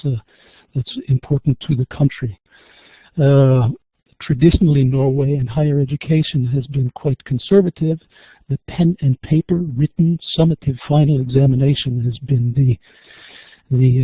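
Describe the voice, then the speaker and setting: An American-accented man presenting in a webinar, measured and pausing with frequent fillers, on tinny phone-like audio. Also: mid-range voice, slightly rough, no echo. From USA. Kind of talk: presentation talk.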